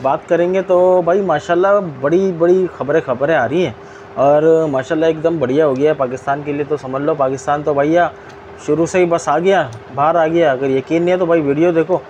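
A man's voice: fairly high pitch, steady tone, normal speed, darkish tone, highs cut off, slight background noise. A man introducing a reaction vlog, chatty and sarcastic, in an indoor room. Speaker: vlogger.

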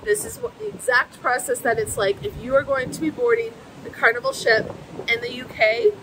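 A woman's voice: on the high side, sing-song, brisk, noisy background. A woman in her thirties from the United States, vlogging to a phone camera on a ship deck and at a port terminal, chatty and explaining each step. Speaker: travel vlogger. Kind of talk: casual vlog to camera. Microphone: phone camera at arm's length.

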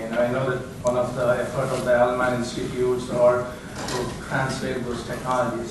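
A man in the audience asking a question from the floor, hesitant and searching for words. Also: medium pitch. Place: lecture hall audience. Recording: distant, echoey, noisy hall audio.

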